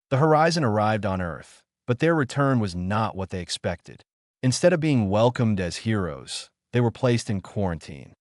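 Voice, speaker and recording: A man's voice: on the low side, a little mellow, no room sound, clean studio sound. A man reading scripted narration for a science fiction audio drama.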